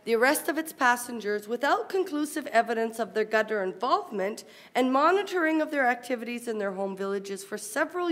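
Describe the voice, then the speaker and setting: A woman academic presenter reads a formal conference paper aloud in a measured, scholarly way, with brief explanatory asides. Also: a little high.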